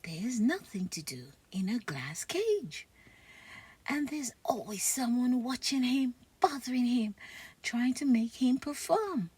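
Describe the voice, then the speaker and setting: A woman's voice: a little high, big pitch swings, takes it slowly, darkish tone, husky, dry recording. A woman reading a comic book aloud expressively for children. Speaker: storybook reader.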